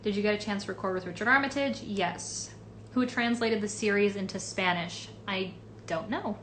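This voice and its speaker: A woman's voice: medium pitch, normal speed, a bit bright, slight background noise. A young woman from Los Angeles, a voice actress casually answering fan questions on a live stream, reading comments aloud with lots of fillers.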